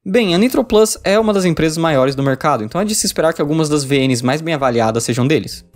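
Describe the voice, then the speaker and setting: Brazilian male essay narrator, higher-pitched and quick, casual and sweary delivery, music underneath. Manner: casual, profane. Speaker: video essayist reviewing visual novels. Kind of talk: scripted video essay narration, informal with swearing. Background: background music.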